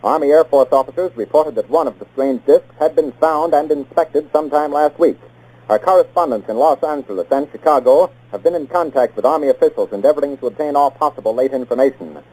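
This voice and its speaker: A man's voice: a little high, a little fast, warm tone. An American man anchoring a scripted 1940s radio newscast in a formal, clipped announcer's delivery. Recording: muffled 1940s radio broadcast.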